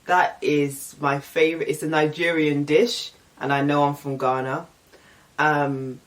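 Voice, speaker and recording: A woman's voice: deep voice, fairly even intonation, unhurried, recorded from a distance. A young woman with an English accent chatting casually and candidly, reading out and answering get-to-know-me questions. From England. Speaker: vlogger.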